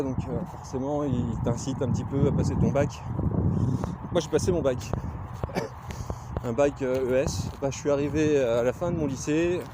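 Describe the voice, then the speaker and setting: A man with a Lorraine accent talks casually while walking outdoors, pausing often to find his words. His voice sits at an ordinary middle pitch. Steady outdoor noise and passing vehicles run behind him. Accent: Lorraine French. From Lorraine, France. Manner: rambling, frequent hesitations. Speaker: glassblower vlogger. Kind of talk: casual talk to camera. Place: outdoors on a countryside walk.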